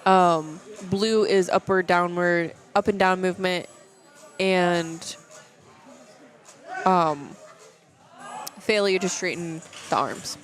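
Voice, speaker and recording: Young female co-commentator, casual, halting, playful ribbing. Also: fairly low pitch, fairly even intonation, faint music behind. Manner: halting, filler-heavy, teasing.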